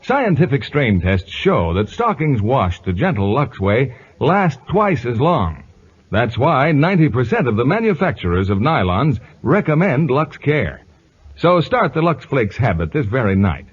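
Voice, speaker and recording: A man's voice: medium pitch, very animated, measured pace, husky. An American man working as a radio announcer, reading scripted 1950s copy with a polished, emphatic sales delivery. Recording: muffled vintage radio broadcast, music behind.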